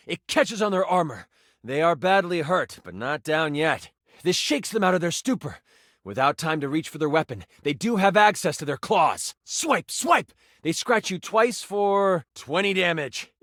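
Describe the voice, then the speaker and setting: A man voicing an excitable, nerdy game master, narrating tabletop fantasy dramatically and dropping into casual, hesitant asides. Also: high-pitched, no echo, studio quality. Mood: dramatic. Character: living D20 die, excitable nerdy male game master.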